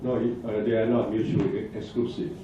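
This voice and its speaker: A man from Thailand presenting at a conference, answering audience questions in a halting way with many fillers and restarts. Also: fairly low pitch, level tone, mellow, slight background noise. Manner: hesitant, halting, restarts words, frequent fillers. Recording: echoey hall, distant dull mic.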